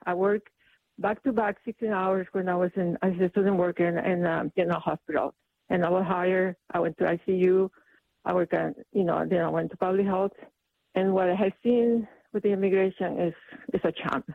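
A woman from El Salvador, calling in to a radio talk show. She speaks in a halting, indignant way. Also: fairly low pitch, fairly even intonation, gravelly. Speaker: caller. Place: calling in by phone. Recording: tinny phone line.

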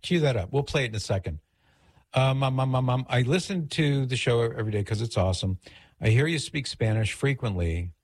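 A man's voice: mid-range voice, lively intonation, fairly quick. A middle-aged man from the United States hosting a talk radio show in a casual, conversational way, with rambling asides as he reads and reminisces. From United States, Los Angeles area. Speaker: radio call-in show host. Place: radio studio.

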